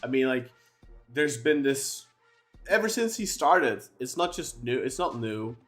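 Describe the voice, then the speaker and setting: A man's voice: mid-range voice, expressive, unhurried, a bit bright, soft background music. Rambling man on a football podcast. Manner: talks at length, many restarts and fillers. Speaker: podcast co-host. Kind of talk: casual football podcast chat.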